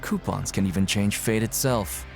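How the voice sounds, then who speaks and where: An actor playing a gruff, cynical, streetwise inmate who works as a prison guide in a video game, curt and jaded in manner. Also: male voice, fairly low pitch, normal speed. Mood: grudging, sly. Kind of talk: video game character acting. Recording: game music behind the voice.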